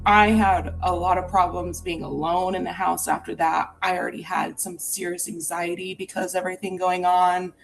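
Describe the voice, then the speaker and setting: Earnest woman from Utah, fairly low voice. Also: level tone, normal speed, vocal fry, hardly any pauses, poor audio. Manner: earnest, candid, reflective. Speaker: storyteller. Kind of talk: personal ghost story told in a podcast.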